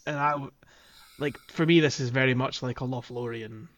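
A man's voice: mid-range voice, fairly even intonation, moderate pace, a bit bright. A man co-hosting a podcast, talking casually about a video game. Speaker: podcast co-host.

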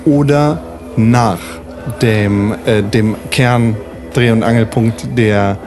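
A man's voice: fairly low pitch, fairly even intonation, takes it slowly, over music. A man casually planning story scenes with the other players in a tabletop role-playing session, steering the game.

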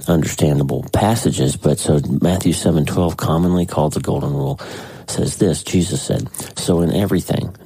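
A man hosting a podcast with a casual, conversational devotional talk, his voice congested and husky. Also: extremely low pitch, dark tone, dry recording, poor audio. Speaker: podcast host.

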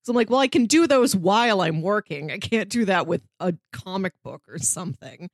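A woman's voice: medium pitch, animated, fairly quick, no echo, clean studio sound. A woman co-hosting a podcast, chatting casually in a review discussion. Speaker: podcast co-host.

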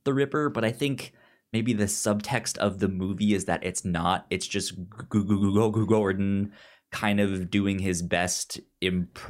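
A man's voice: lowish voice, measured pace. A man speaking as a podcast co-host in casual discussion, often stuttering and repeating sounds and words as he goes. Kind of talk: casual discussion of comics and film.

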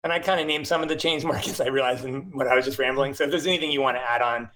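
A man's voice: medium pitch, steady tone, rapid-fire, a bit bright. A man in casual conversation, earnest and thoughtful, often restarting phrases as he explains. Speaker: nonprofit co-founder, podcast guest. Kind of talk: casual chat.

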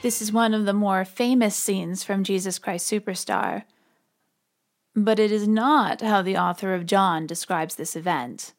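A woman's voice: medium pitch, a little slow, soft background music. A woman podcast host giving conversational religious commentary in an informal, explanatory way.

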